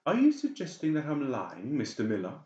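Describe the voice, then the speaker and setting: A man's voice: medium pitch, huge pitch swings, a little fast, warm tone, echoey room, dull audio, pitched above the performer's own voice. A man voicing a cold, manipulative doctor in a horror audiobook, mock-polite and menacing. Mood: cold, sharp. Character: cold, conniving, balding doctor, falsely soothing villain. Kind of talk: dramatised horror audiobook dialogue.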